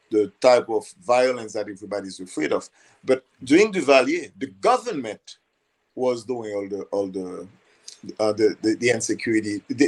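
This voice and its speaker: An older man from Haiti, a podcast guest, explaining history in a halting way, repeating words while he searches for them. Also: mid-range voice, low-quality recording. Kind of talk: conversational explanation.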